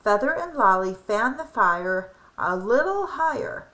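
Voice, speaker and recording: A woman reading a children's picture book aloud in an expressive, emphatic and deliberate way. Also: a little high, low-quality recording. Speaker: storybook reader.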